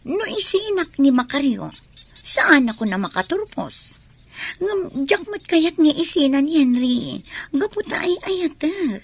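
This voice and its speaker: An actress playing a coaxing young student who juggles two lovers in a radio drama, with an Ilocano accent. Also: female voice, high-pitched, big pitch swings, measured pace, no room sound, heavily acted. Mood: defiant. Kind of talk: Filipino radio drama acting.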